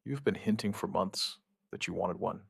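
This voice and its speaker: An actor playing a shaken outbreak survivor, giving his account in halting, somber, dramatic narration with short deliberate phrases. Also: low-pitched, creaky, slightly rough, no echo, studio quality, exaggerated voice. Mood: quietly determined. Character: shaken male outbreak survivor recounting events, dryly stubborn. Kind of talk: dramatic first-person scripted narration, witness account.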